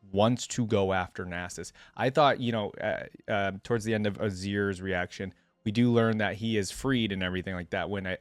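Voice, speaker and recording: A man's voice: on the low side, no echo. A man hosting a reaction video, talking conversationally and thinking aloud as he comments and reads lore.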